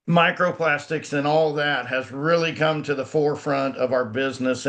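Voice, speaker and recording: Folksy man, company founder, video-call presentation, a touch high-pitched, lots of ums. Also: a bit bright. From Northwest Arkansas, US. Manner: folksy, many filler words and restarts.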